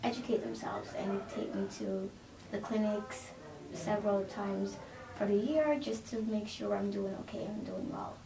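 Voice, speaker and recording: Gravelly-voiced woman in her thirties from Jamaica. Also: medium pitch, fairly even intonation, normal speed, a crowd in the background. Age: 30s. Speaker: interview guest. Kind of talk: telling her own story in an interview. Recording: roomy sound, distant mic, faint noise.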